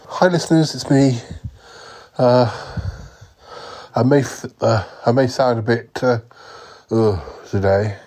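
A man's voice: mid-range voice, takes it slowly, pauses often, darkish tone. A man with a summer cold, hosting a comedy podcast with fretful asides. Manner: fretful, sighing "oh dear" asides. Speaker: podcast host. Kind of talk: comedy podcast monologue and sketch.

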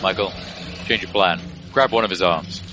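An actor playing a calm, ruthless squad leader with a clipped, commanding manner in an action audio drama. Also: male voice, deep voice, moderate pace, a little hiss or hum. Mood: steely command.

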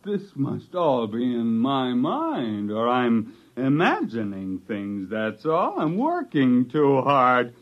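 A man acting an excitable scientist husband who tells his own story in a 1950s radio drama, with dramatic, wry delivery. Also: medium pitch, slow, hardly any pauses. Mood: agitated. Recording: dull 1950s radio recording, music behind.

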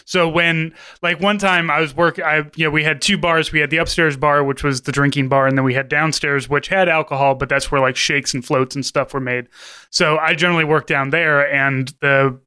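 Bright, clear-voiced man telling stories. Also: a little high, brisk. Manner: relaxed anecdotal storytelling. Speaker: podcast guest. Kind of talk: casual podcast chat.

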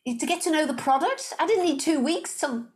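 Woman, fifties, slight Liverpool accent, fairly high voice, chatty self-mocking storyteller, podcast guest. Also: expressive, fairly quick. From Liverpool, England. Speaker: guest, sales coach. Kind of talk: casual podcast interview.

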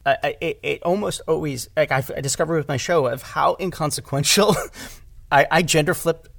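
A man's voice: on the high side, moderate pace, vocal fry, a bit bright, no echo. A man talking casually as a podcast guest about his work as a writer and director, in a rambling way, repeating words for emphasis. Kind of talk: casual conversational discussion.

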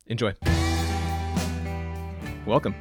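A man hosting a podcast, reading a measured introduction and asking questions. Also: soft background music. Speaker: podcast host.

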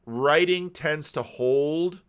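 A man's voice: fairly high pitch, takes it slowly, smooth. A man giving casual commentary and reading aloud, bookish and given to digressions. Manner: bookish, digressive, stopping to comment on what is read. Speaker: video commentary host.

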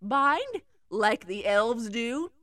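A woman's voice: a little high, big pitch swings, drawn-out words, sharp tone, no room sound, exaggerated voice. A woman voicing an anxious male elf who asks nervous questions.

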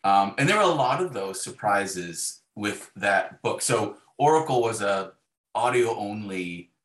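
A man chatting casually as an interview guest, circling through his phrases in a halting way. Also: fairly low pitch, lively intonation, fairly bright tone. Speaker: guest, novelist. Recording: roomy, echoey sound.

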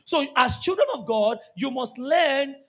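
A Nigerian man preaching a lively church sermon, repeating key phrases and joking with the congregation. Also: very high-pitched, measured pace. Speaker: pastor.

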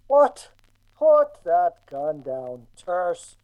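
An actor playing a grumpy, commanding old farmer, scolding as if roused from sleep, in a radio drama. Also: male voice, high voice, sing-song, very slow, narrow, tinny audio, exaggerated voice. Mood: cranky, indignant. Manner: cranky, scolding.

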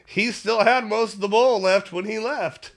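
A man's voice: high-pitched, fairly even intonation, measured pace, gravelly, a bit bright. A man in his thirties co-hosting a podcast in a rambling, laid-back way, swearing constantly and laughing often. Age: 30s. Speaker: podcast co-host. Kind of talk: casual stoned chat.

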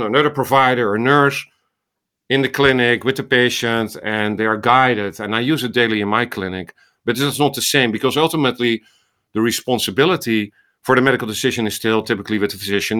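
A man's voice: mid-range voice, pushed and bright. A middle-aged man with a Dutch accent, giving long, thoughtful answers as an interview guest with small restarts. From Netherlands. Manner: talkative, explains at length with small restarts. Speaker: guest, ophthalmologist and AI researcher.

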